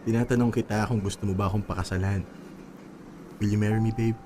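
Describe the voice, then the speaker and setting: A man playing an earnest, devoted young boyfriend in a Tagalog radio drama, gentle and sincere, with a Filipino accent. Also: lowish voice, fairly even intonation, quick pace, music in the background, natural voice. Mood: tender, sincere.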